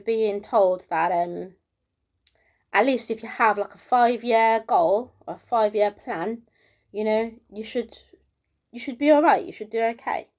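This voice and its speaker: A woman from the UK with a soft, girlish voice, talking casually to camera in a rambling monologue full of fillers, her pitch climbing as she gets into the flow. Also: highs cut off. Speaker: vlogger.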